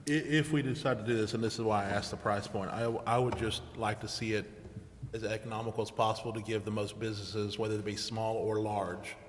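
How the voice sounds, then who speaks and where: Quick talking guy on a city council, kinda low voice, echoey chamber. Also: fairly even intonation. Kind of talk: informal questioning at a council meeting. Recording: hall reverb, faint background noise.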